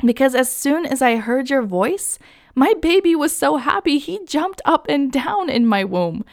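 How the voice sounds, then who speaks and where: A glad expectant mother speaks up, as the storyteller reads her part, her voice lifted a little higher. The delivery stays slow and gravelly at the edges. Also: sing-song, heavily acted. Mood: joyful. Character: joyful pregnant woman greeting her cousin.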